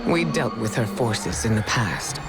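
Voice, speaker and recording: A man playing a solemn chronicler of a sci-fi war, narrating a video game in a grave, measured, portentous way. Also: lowish voice, big pitch swings. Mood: grave, foreboding. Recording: voice under loud game music.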